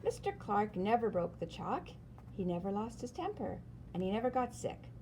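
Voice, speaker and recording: A woman reads a children's story aloud expressively, with dramatic emphasis and pauses. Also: mid-range voice, big pitch swings, mellow, slight background noise, low-quality recording. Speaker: storyteller reading a picture book aloud.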